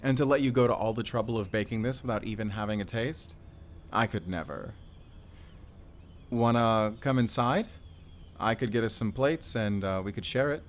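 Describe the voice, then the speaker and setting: A man playing a friendly, self-effacing forest ranger and dad in an intimate audio roleplay, easygoing and gently teasing. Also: lowish voice, moderate pace, a little hiss or hum, natural voice. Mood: delighted, welcoming.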